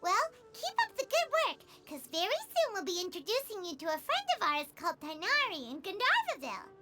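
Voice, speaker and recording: A very high, swooping voice of a tiny game fairy. She is chatty and excitable, full of exclamations. Music plays clearly behind her. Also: a little fast, full character voice. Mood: cautioning. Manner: speaks of self in third person, exclamatory. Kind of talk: video game character acting.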